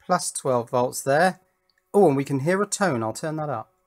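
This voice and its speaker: A man's voice: on the high side, lively intonation, normal speed, over music. British man, informal hands-on electronics presenter. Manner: enthusiastic, thinking aloud.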